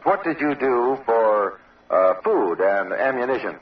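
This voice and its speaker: A man interviews on location as a radio news reporter. His pitch is average for a man. The old field recording is dull and slightly distant from the microphone. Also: lively intonation, unhurried, a little hiss or hum. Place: outdoor observation post.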